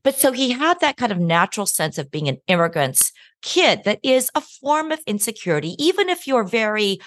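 A middle-aged woman, a law professor and author, answering interview questions in an animated way and laughing often. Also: a little high, a little fast, no echo, studio quality. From United States.

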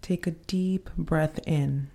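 A woman leading a guided meditation in a soothing, unhurried manner, pausing between short phrases. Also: deep voice, steady tone, mellow.

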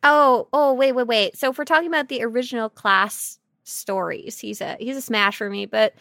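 A woman co-hosting a podcast with casual, silly banter, talking in an animated, jokey and exclamatory way. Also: a little high, normal speed, no echo, studio quality. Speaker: podcast co-host. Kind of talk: casual, silly banter about an online game.

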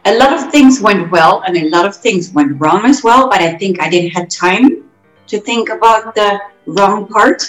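A woman from the Netherlands, a guest telling her life story in an animated, self-correcting way. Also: medium pitch, normal speed. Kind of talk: casual interview storytelling. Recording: roomy echo, faint music.

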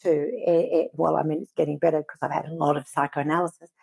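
A woman from Israel, a guest in an interview, giving earnest, measured answers and often pausing to search for words. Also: low-pitched, level tone, vocal fry, a little mellow, a little hiss or hum.

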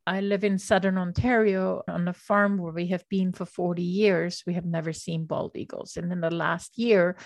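A woman's voice: lowish voice, dry recording. An older woman from Austria hosting a podcast interview, who speaks in an earnest, thoughtful and enthusiastic way. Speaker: podcast host. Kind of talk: casual interview conversation.

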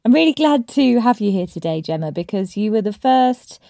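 A woman's voice: medium pitch, lively intonation, moderate pace, creaky, mellow, no room sound, clean studio sound. A woman hosting an interview podcast, speaking as an English teacher to her guest. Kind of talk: podcast interview with scripted intro.

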